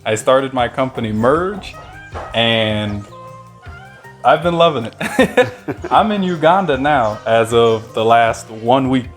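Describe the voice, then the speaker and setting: A man from the United States speaks as a guest in a relaxed, chatty style, telling his own story. His pitch sits in the middle of the male range, and faint music runs underneath. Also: slow. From Las Vegas, United States. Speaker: guest, channel owner and company founder. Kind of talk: casual chat, sharing his story.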